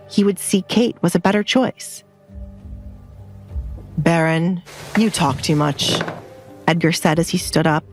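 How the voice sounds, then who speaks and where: A woman reading scripted romance fiction as an audiobook narrator. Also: medium pitch. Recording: background music under the voice. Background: music.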